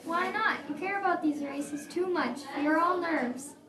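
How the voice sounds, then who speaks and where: A woman's voice: extremely high pitch, a little slow. A woman voicing an anxious character in a family drama for a puppet show. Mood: anxious, earnest. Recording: distant echoey live show with crowd.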